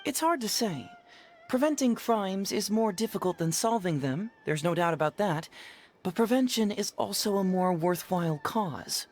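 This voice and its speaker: Light-voiced, breezy young detective. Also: male voice, high voice, animated, moderate pace. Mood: earnest, explanatory. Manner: easygoing, articulate, explanatory. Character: breezy, clever, earnest young male detective. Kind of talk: video game dialogue acting.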